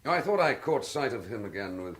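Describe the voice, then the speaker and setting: A man's voice: fairly low pitch, big pitch swings, fast talker, a bit bright, husky, heavily acted. An actor playing a troubled male detective in a radio drama, understated and wry. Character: worried male detective, dry and self-doubting. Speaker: police detective. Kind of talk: 1960s radio drama acting.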